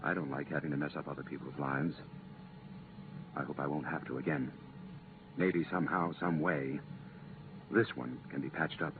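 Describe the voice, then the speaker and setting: An actor playing an earnest, regretful but firm commission official in a 1950s radio drama, speaking deliberately and emphatically. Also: male voice, rumbling low, fairly even intonation. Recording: muffled, noisy 1950s radio transcription.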